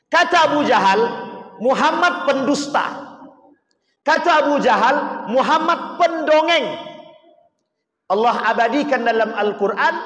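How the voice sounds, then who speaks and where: A male preacher delivers an Islamic sermon in a strikingly high voice. He is emphatic and rhetorical, addressing his congregation directly. The sound is strongly echoey, with the long reverb of a big hall. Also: a bit bright. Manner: emphatic, rhetorical, questioning the listeners.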